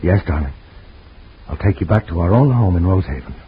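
A man's voice: deep voice, animated, brisk, a little hiss or hum. A man voicing an anguished husband in an old radio drama, pleading and emotional in a 1940s acting style. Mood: tender. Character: anguished guilt-ridden husband. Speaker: lead actor. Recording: dull lo-fi old radio recording.

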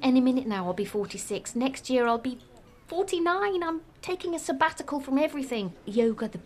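A woman's voice: high-pitched, expressive, a little fast, a little mellow. An actress playing a romantic, bookish woman of forty-five who talks with breezy enthusiasm. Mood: earnest. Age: 40s. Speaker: friend at lunch. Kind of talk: scripted radio drama dialogue.

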